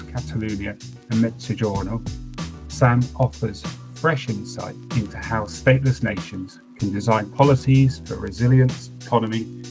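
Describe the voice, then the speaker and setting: Male podcast host, measured scripted delivery, lower register, music bed behind. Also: low-quality recording. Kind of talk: scripted intro then interview questions.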